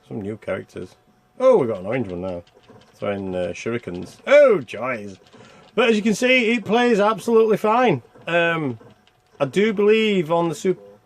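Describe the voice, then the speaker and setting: A man giving casual, rambling gameplay commentary, thinking aloud as he plays and reviews a video game. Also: a little high.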